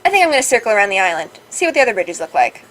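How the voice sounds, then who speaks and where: A woman's voice: medium pitch, big pitch swings, racing, fairly bright tone, soft background music. A woman voicing a curious, cheeky young adventurer, reading visual novel dialogue in a casual, teasing way over a noisy recording.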